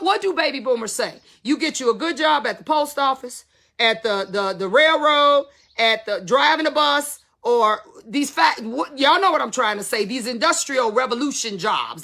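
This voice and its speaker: Blunt, bright-voiced woman in her fifties. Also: sits high, vocal fry. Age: 50s. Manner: blunt, emphatic, colloquial. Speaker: salon business consultant. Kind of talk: casual talk to camera.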